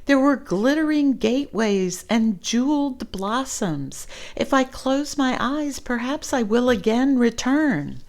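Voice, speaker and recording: A woman's voice: a little high, lively intonation, a little mellow, voice pushed up. Woman doing a grumpy, dreamy old man. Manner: slow, drowsy, irritable.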